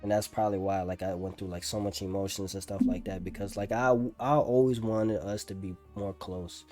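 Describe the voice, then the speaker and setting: A young man talking casually over gameplay as a streamer, candid and slangy, in a halting way. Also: low-pitched, fairly even intonation, a little mellow, soft background music. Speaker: gaming streamer.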